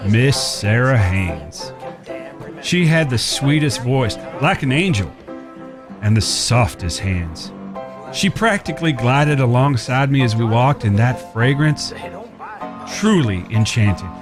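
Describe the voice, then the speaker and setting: A man's voice: medium pitch, very animated, a little slow. Male actor as lovestruck cowboy, courtly and earnest, mid-range voice, music behind, audio drama. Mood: smitten. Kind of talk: audio drama acting, Old West dialogue.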